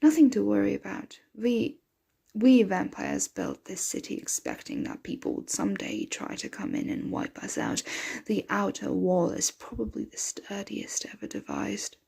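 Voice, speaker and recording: A woman voicing a possessive, protective, motherly vampire in an intimate ASMR roleplay, breathy and often dropping into a whisper. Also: mid-range voice, sing-song, mellow, exaggerated voice. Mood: calm, reassuring. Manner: slow, intimate, soothing address to a dear one.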